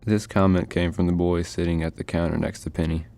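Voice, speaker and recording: A man playing a measured storybook narrator, reading scripted narration in a radio play. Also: deep voice, level tone, vocal fry, warm tone.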